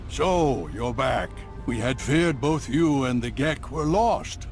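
An actor playing a stern, weary old military leader in a video game, speaking in a grave, measured, authoritative way. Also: male voice, mid-range voice, expressive, faint music behind. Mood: grave, urgent. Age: older. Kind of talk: video game character acting.

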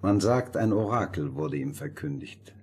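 An actor playing a refined, pompous gentleman, sly and measured, in a fairy-tale radio play. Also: low-pitched, animated, husky. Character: smooth-mannered, condescending noble gentleman, probing and sly.

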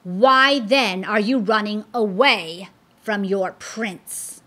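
A woman reading aloud, voicing a menacing male fiend who declares himself prince of destruction, loud and threatening. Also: mid-range voice, slow. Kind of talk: storybook read-aloud.

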